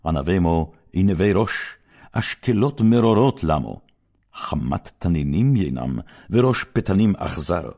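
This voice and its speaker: A low-voiced man reads scripture in a solemn, declamatory way, pausing dramatically between short verses. His tone is dark and mellow with a husky edge, and his pitch rises and falls widely as he lifts and drops each phrase. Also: expressive. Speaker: Bible reader.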